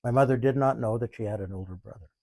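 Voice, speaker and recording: A man in his seventies, talking in a measured, thoughtful way and pausing often mid-sentence, in an interview. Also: medium pitch, gravelly, mellow, no room sound. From Canada. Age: 70s. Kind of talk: reflective interview. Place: small museum.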